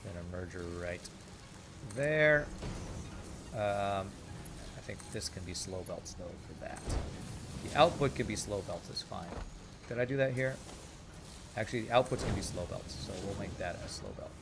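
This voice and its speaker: A man's voice: lowish voice, lively intonation, moderate pace, long pauses, background noise. A man with a slight Texas twang, thinking aloud as he casually narrates his gameplay. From California, raised in Texas from age nine. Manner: thinking aloud, mumbling asides. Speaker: gaming channel host.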